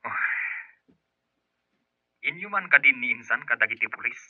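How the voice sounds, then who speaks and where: A man's voice: mid-range voice, animated, normal speed, no room sound, close to an everyday voice. An actor playing a suspicious, mocking man with a confrontational, sneering manner on the phone in an Ilocano radio drama. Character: suspicious, mocking male relative of the boss. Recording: dull telephone-call sound.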